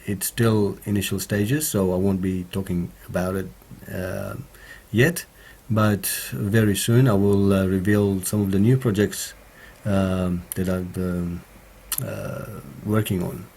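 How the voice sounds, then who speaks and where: Male stream host, slightly croaky, slow and halting, with many fillers, recorded at a home studio mic. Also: low-pitched, fairly even intonation, warm tone. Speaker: home studio streamer answering viewer questions. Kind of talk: casual live stream chat.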